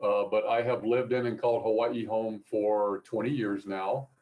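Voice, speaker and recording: Middle-aged male academic panelist from Appalachia, fairly low voice, measured and explanatory delivery. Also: fairly even intonation, darkish tone. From Appalachia, mountains of North Carolina and Virginia. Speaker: professor, panelist. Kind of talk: informal panel talk and explanation.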